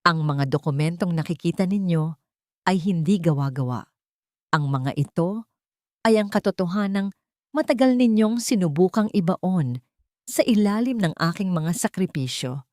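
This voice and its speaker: A woman narrator voicing a wronged, cold and commanding woman avenger, speaking firmly and deliberately in story dialogue. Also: fairly low pitch, animated, a little mellow, no room sound, studio quality, lightly acted. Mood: firm, accusing. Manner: firm, deliberate, authoritative.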